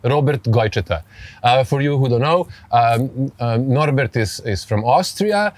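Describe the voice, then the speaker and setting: A man from Serbia with a Serbian accent hosts a conversational interview, speaking haltingly and repeating phrases as he frames his questions. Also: medium pitch, animated, no echo. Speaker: podcast host.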